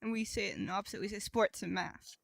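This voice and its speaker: A woman's voice: medium pitch, very animated, fast talker, vocal fry, dry recording. A young woman with an American accent co-hosting a podcast in casual comedic chat, wry and blunt. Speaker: podcast co-host.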